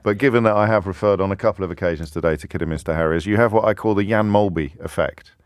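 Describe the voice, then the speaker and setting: A man's voice: sits low, fast, dry recording. A man hosting a radio phone-in, talking in a chatty, wry and fluent way from a studio microphone. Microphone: studio microphone, close and dry.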